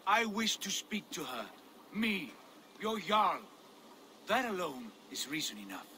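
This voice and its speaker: Casting: secretive Norse jarl in a game, tense bright edge, commanding, faint background noise. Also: male voice, high voice, big pitch swings, a little slow, exaggerated voice. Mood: stern, defensive. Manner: commanding, insistent.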